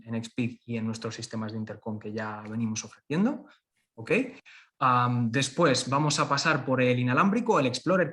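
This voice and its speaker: Halting male webinar host, medium pitch. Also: a bit bright. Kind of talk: informal webinar introduction.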